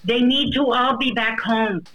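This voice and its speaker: A woman's voice: on the high side, measured pace, fairly bright tone, rough recording. A woman from the United States giving impassioned personal testimony as a guest, emphatic and repeating phrases for weight. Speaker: interview guest, wife of a deported veteran.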